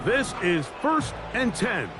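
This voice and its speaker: A man's voice: sits high, very animated, slow pace, loud music behind the voice, exaggerated voice. A man with an American accent voicing a football play-by-play announcer, calling the action over the game's noise. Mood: measured, brisk. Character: male TV football play-by-play announcer, brisk and excitable. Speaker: play-by-play commentator. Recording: loud crowd and game noise behind.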